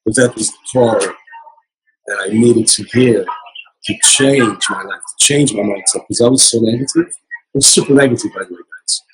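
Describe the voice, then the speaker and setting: A man giving an earnest motivational account as an interview guest, hoarse from losing his voice. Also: lowish voice, normal speed, poor audio. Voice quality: very husky. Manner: earnest, repetitive motivational talk. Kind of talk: casual interview. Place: casino resort event.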